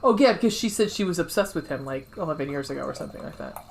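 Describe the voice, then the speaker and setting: A woman's voice: sits low, expressive, racing, gravelly. Woman, jokey and informal podcaster. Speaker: book club podcast co-host. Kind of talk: casual book chat.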